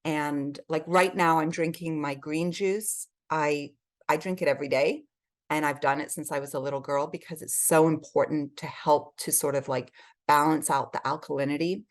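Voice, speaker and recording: Low voiced woman guest on a podcast, really effusive and emphatic, chatting away. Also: steady tone, normal speed. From Switzerland and England. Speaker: podcast guest, health advocate. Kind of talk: conversational interview.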